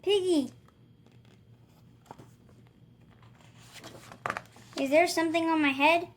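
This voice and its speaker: A woman's voice: extremely high pitch, a little fast, slight background noise. A woman voicing an anxious, exasperated elephant from a children's picture book, questioning everything in disbelief. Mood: alarmed, puzzled. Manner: questioning, incredulous.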